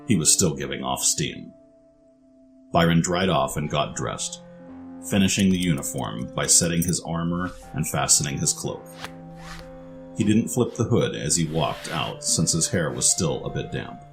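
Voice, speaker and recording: Very deep male story narrator. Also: expressive, measured pace, gravelly, music in the background.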